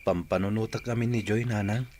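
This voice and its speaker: Man acting a low-voiced lovesick young Ilocano man. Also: steady tone, a little fast, darkish tone, close to an everyday voice. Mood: regretful. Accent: Ilocano Filipino. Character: lovesick, regretful young Filipino student son. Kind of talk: audio drama dialogue. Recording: noisy track with chirping insects.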